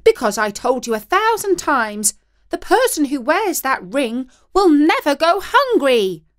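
A woman voicing a starving, distressed housewife shouting in a high-pitched voice in a storybook reading. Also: animated, takes it slowly, full character voice.

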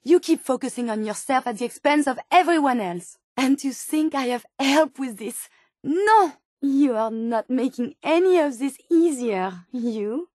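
A woman's voice: high-pitched, expressive, unhurried, a little mellow, no room sound, clean studio sound. A woman with a French accent voicing a polite, earnest female robot scientist in a video game, speaking formally and precisely. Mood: hurt, reproachful. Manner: formal, precise, courteous phrasing without contractions. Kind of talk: video game character dialogue.

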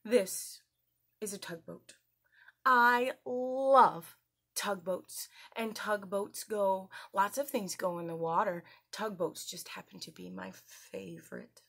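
Lady doing story time for little kids, slow pace, super animated, asks lots of encouraging questions. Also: medium pitch. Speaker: children's story time presenter. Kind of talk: children's lesson, addressing young viewers directly. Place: small indoor room at home.

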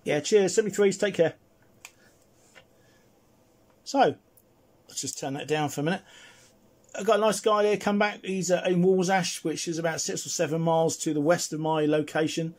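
A man chatting casually and at some length as he demonstrates a CB radio, in a friendly, slightly husky voice. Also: fairly high pitch, steady tone, fairly quick. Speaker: CB radio reviewer and operator. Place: indoors at a home radio desk.

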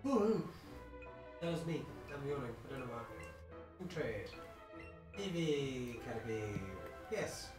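A streamer chatting casually over a game, rambling aloud and reading viewers' messages. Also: male voice, mid-range voice, very animated, slow pace, long pauses. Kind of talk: casual gaming chat. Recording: roomy echo with game music.

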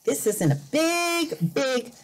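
Casting: middle-aged female teacher for a children's read-aloud, high-pitched, extremely unhurried, repeats words for learners. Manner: animated, deliberate, repeats words simply for learners. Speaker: volunteer teacher reading a picture book to young learners. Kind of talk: slow, expressive children's read-aloud with simple explanations. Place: indoor room at home.

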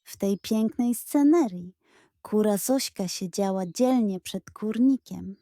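A woman narrating a scripted bedtime story for children in an expressive, playful way. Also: mid-range voice, takes it slowly, no echo, studio quality.

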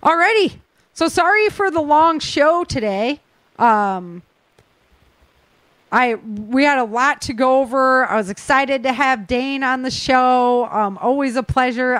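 Higher-pitched woman hosting a casual podcast. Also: no echo. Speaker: podcast host. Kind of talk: casual news rundown and chat.

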